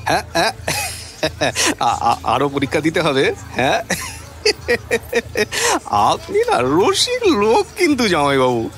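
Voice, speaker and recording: A man's voice: high-pitched, huge pitch swings, slow, vocal fry, long pauses, fairly bright tone, heavily acted. A man playing a jovial, teasing young brother-in-law in a radio drama, chuckly and stammering, with a West Bengal Bengali accent. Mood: teasing, amused.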